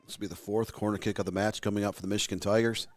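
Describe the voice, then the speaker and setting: A man with a hoarse, raspy voice giving color commentary on a youth soccer match, measured and explanatory. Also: lowish voice, level tone, no echo.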